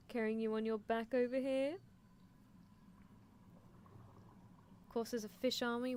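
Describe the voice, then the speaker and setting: Casting: woman as playfully menacing girl scientist, medium pitch, theatrical ASMR roleplay, audible background noise. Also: darkish tone, close to an everyday voice. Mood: mocking, exasperated. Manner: theatrical, teasing, musing. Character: teasing female mad-scientist horse-girl, playfully menacing. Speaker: livestreamer. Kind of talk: roleplay ASMR livestream, answering chat in character.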